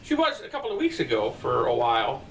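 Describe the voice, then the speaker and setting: A man's voice: mid-range voice, huge pitch swings, fast talker, vocal fry. A middle-aged man chatting casually and bluntly, answering viewer comments from his bedroom. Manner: rambling, blunt, profane asides. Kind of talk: casual comment-response chat. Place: bedroom at night, indoors. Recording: distant mic with faint room noise.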